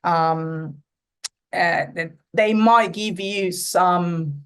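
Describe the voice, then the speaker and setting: A woman in her thirties with an Argentine accent speaks as a podcast guest. Her voice sits somewhat low for a woman, and she talks in an earnest, casual way. Her Spanish-speaking roots come through as she halts on fillers and restarts while finding her words. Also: extremely slow, fairly bright tone, clear, resonant tone. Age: 30s. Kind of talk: casual interview conversation.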